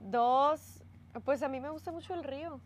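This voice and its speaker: A woman's voice: high-pitched, normal speed. A woman from Tamaulipas, Mexico, co-hosting a casual podcast chat outdoors by a pool, easygoing with short replies. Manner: easygoing, short replies, gently corrects her partner. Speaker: podcast co-host.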